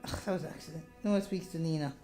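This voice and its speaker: A woman's voice: low voice, fast, over music. A woman streamer reading a visual novel aloud, with dramatic narration broken by casual asides.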